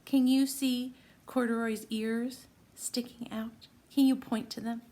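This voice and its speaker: Female storyteller, high register, unhurried delivery, expressive picture-book read-aloud pitched at young children with questions. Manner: expressive, simple teacher-like phrasing addressed to children.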